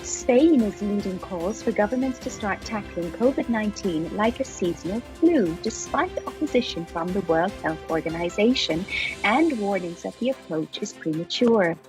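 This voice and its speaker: A woman presenting the news on a live stream, reading in a measured, even way. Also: mid-range voice, over music.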